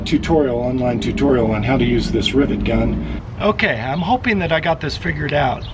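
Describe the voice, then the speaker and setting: Hoarse, raspy man with a gravelly voice. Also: fairly high pitch, noisy background. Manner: unhurried, thinking aloud with fillers. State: hoarse, losing his voice. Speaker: vlogger. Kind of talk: casual vlog narration while working. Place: inside a step van.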